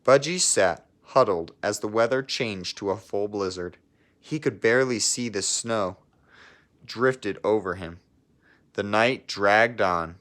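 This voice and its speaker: A man's voice: fairly low pitch, takes it slowly, a bit bright. A man reading a children's picture book aloud expressively, as if to young listeners. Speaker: storybook reader.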